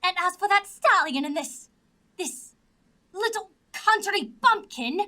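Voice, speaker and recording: Vain prima donna mare, high, sharp and swooping, dripping disdain in audio drama style. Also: female voice, lively intonation, a little slow, heavily acted. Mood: disdainful. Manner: disdainful, theatrical. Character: vain, haughty, scornful female pony opera diva.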